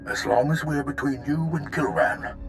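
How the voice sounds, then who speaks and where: An actor playing a self-sacrificing fleet officer in a video game, giving urgent, commanding orders. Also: male voice, on the high side, normal speed, gravelly. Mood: steely urgency. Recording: music under the voice.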